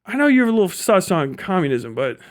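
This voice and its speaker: A man's voice: sits high, big pitch swings, brisk. A man giving off-the-cuff livestream commentary in a blunt, profane, sardonic way. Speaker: live streamer.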